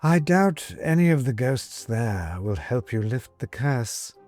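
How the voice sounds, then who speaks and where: A man voicing a sly, predatory house cat that taunts its prey in a slow, condescending drawl. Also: medium pitch, big pitch swings, darkish tone, soft background music.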